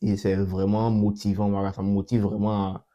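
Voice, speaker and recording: A young man hosting his channel and talking through a coding demo in a casual way, with a cold that makes his voice a bit off. He repeats himself often and fills pauses with hesitations. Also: lowish voice, monotone, warm tone, highs cut off. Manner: repeats himself, many fillers and restarts. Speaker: channel host. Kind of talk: casual screen-recorded coding walkthrough.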